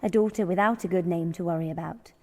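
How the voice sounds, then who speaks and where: An actress playing a bold, cunning young kitchen maid in a horror audio drama, brisk, commanding and needling. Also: female voice, fairly low pitch, darkish tone. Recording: long echoing hall reverb.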